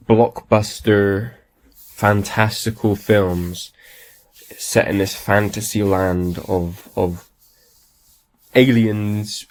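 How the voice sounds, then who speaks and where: A man hosting a solo podcast, raspy from a cold, talking enthusiastically and rambling with lots of restarts. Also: fairly low pitch, a little mellow. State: has a cold. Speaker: podcast host. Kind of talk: casual solo podcast talk.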